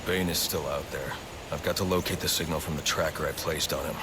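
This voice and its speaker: An actor playing a grim, driven young masked vigilante in a video game, speaking tersely and gravely in a growling voice. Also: male voice, extremely low pitch, fairly even intonation, fairly quick. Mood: grim, focused. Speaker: lead character, masked vigilante. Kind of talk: video game cutscene acting. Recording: loud vehicle and ambience noise behind.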